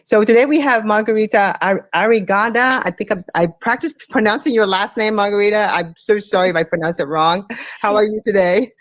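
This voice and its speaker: A woman's voice: medium pitch, fairly quick, no echo. A woman co-hosting a live audio chat show, chatty and a bit apologetic, talking into a phone. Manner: chatty, apologetic, with filler words. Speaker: podcast co-host. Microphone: Android phone.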